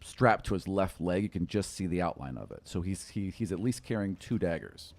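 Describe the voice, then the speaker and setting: A man's voice: low-pitched, animated, fairly quick, creaky, a little mellow. A middle-aged man casually narrating a tabletop game as game master, descriptive, on a close studio mic. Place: small indoor studio room. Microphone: close studio podcast mic with windscreen.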